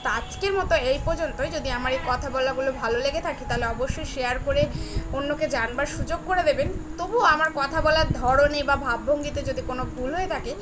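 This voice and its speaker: A woman's voice: high voice, fairly quick, over music. A young woman speaks earnestly to viewers in a personal devotional talk, repeating phrases for emphasis. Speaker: devotional vlogger. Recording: clearly noisy, ambient background sound.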